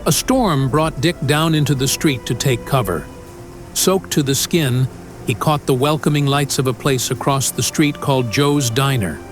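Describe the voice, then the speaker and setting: A man's voice: mid-range voice, normal speed. A man narrating an audio drama, reading scripted story prose in a dramatic style. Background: music.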